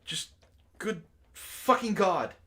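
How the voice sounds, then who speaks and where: A man with a fairly high, very lively voice hosts a loose group chat. He reads aloud and reacts in a giggly, sweary manner, taking his time over the words. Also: slow. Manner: giggly, animated, profane. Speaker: online show host reading out chat messages and memes.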